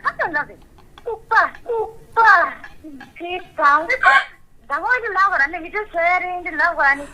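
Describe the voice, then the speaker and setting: A woman's voice: very high voice, measured pace, bright, forceful tone. A woman talking informally on a phone call, with some noise on the recording. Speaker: sister receiving a prank call.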